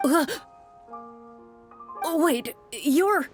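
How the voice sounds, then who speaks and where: Anxious townsman played by a woman, game roleplay, raised pitch, theatrical and excitable, faint music behind. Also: very slow, heavily acted. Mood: startled. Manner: flustered, theatrical, exclamatory. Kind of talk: scripted game roleplay acting.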